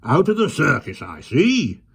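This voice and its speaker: A raucous, scheming fairground showman, put on by a man reading a children's storybook, loud and pushy. His raised voice barks and swings with a hawker's bluster. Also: on the high side, wildly swooping pitch, measured pace, creaky, slightly rough, voice pushed up, exaggerated voice. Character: loud, red-faced, scheming male showman.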